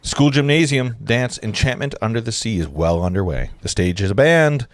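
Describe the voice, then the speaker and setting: A man's voice: mid-range voice, expressive, moderate pace, dry recording. A man narrating stage directions at an informal script read, casual and stumbling a little over the text.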